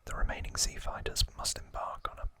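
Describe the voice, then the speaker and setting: A man hosting ASMR in a breathy, unvoiced whisper, reading and commenting in a hushed, deliberate way. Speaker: ASMR host.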